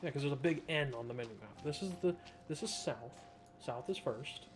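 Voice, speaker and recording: A man's voice: medium pitch, lively intonation, moderate pace. A man doing casual, rambling game commentary as a streamer, often self-deprecating. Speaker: gaming streamer. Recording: game music behind the voice.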